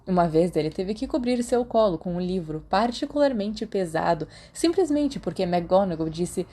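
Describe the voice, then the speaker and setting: A woman narrator with a Brazilian accent reads fiction from a script. Her voice sits a little low for a woman, with a very soft, mellow tone. The delivery is that of an audiobook reading. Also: animated, normal speed.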